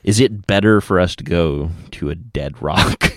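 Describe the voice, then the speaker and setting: Male, very low, gravelly; wry, joking questioner; dry close sound. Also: unhurried, dry recording. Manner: wry, asks musing questions. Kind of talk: casual podcast chat.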